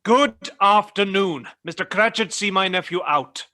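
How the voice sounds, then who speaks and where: A man's voice: fairly high pitch, animated, moderate pace, bright, forceful tone. A man playing a cold, irritable old miserly businessman in a radio play, with a curt, grumbling, scornful delivery. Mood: irritated.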